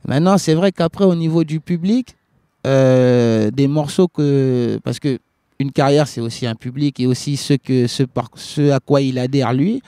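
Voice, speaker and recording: A man who grew up in Liberia, a reggae singer answering interview questions in a halting way, with many restarts and fillers. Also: medium pitch, warm tone, no room sound, studio quality. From West Africa, grew up in Liberia.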